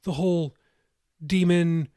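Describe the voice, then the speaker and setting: A man's voice: fairly high pitch, fairly even intonation, slow, mellow, clear, resonant tone, dry recording, studio quality. Man reading as a curious, wondering woman. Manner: casual, wondering. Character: curious, amazed woman, informal talker.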